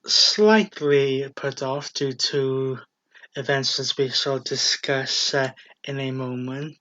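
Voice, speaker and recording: A man co-hosting a casual wrestling talk show, talking slowly with fillers and laughs. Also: mid-range voice, steady tone, sharp tone. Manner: slow, hesitant, with fillers and laughs. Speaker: podcast co-host. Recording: dull lo-fi home recording.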